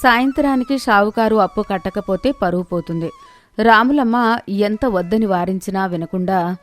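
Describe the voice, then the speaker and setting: Casting: female narrator for animated moral stories, mid-pitched, scripted storytelling over music bed. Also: moderate pace.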